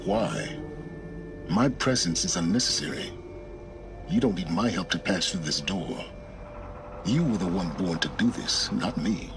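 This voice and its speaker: Deep-voiced, grave, aloof mentor. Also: male voice, animated, music in the background. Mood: firm, solemn. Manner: measured, formal, detached. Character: grave, aloof, pragmatic middle-aged male mentor. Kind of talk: video game cutscene acting.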